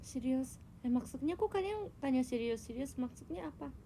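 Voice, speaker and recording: A woman's voice: sits high, lively intonation, moderate pace, mellow, slight background noise. A young Indonesian woman chatting casually and teasingly with her viewers on a phone livestream. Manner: playful, teasing, tells tall tales. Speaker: idol livestreamer.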